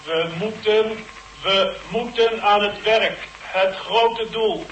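A man playing an eerie alien voice in a radio play, slow and chanting. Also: sits high, a bit bright, roomy sound, a little hiss or hum, poor audio. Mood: droning. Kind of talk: 1980s radio play acting.

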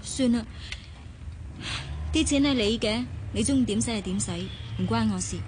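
High-pitched, pouting Cantonese bride-to-be played by an actress. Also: female voice, normal speed, background noise, lightly acted. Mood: sulky. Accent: Hong Kong Cantonese. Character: proud, pouting young bride-to-be, teasing her rich fiancé. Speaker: radio drama actress. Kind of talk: Cantonese radio drama acting. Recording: music behind the voice.

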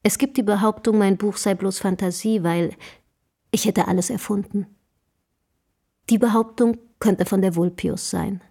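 A woman's voice: medium pitch, fairly even intonation, moderate pace, vocal fry, warm tone, husky. Radio play role: spurned, haughty young female writer, effusive, proud, period diction, mid-range voice. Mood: indignant. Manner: effusive, self-dramatizing, formal old-fashioned diction. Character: ardent, haughty young Romantic woman writer, spurned and defiant. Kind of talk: German radio drama acting.

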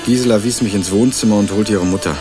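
An actor playing an earnest young male student investigator in a radio crime drama, narrating and questioning in a measured, dry way. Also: fairly low pitch, music in the background, natural voice. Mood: measured narration. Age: young adult. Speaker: narrator and lead character.

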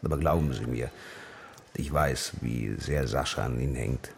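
Middle-aged gentleman, extremely deep bass, creaky, measured, grave, polite, radio play dialogue. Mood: soothing, regretful. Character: grey-haired, decisive, courteous male acquaintance bearing grave news.

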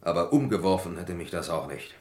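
An actor playing an accused lab worker in a vintage radio crime drama, formal, hesitant and protesting. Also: male voice, sits low, fairly quick, natural voice. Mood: defensive, explaining. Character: accused male lab worker, defensive and evasive. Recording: roomy old radio play recording.

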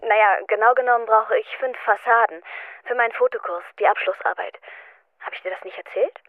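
An actress in a German radio drama playing a young photography student, teasing, forward and casual, heard through a telephone filter. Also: female voice, fairly high pitch, fairly quick, no room sound. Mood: coaxing, playful. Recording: thin, dry phone-line sound.

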